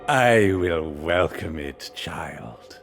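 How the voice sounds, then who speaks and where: Gravelly, slow undead knight. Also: male voice, sits low, expressive, soft background music, full character voice. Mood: cold menace. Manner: courtly, pompous, theatrical, deliberate. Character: proud, menacing undead knight, ghostly warlord. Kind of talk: video game cutscene acting.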